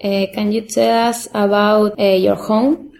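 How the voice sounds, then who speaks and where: A woman's voice: medium pitch, little pitch movement, measured pace, gravelly, a little mellow, echoey room, a little hiss or hum. A woman, a student speaking simple English with fillers. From Argentina. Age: 20s. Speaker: language student. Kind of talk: casual podcast interview.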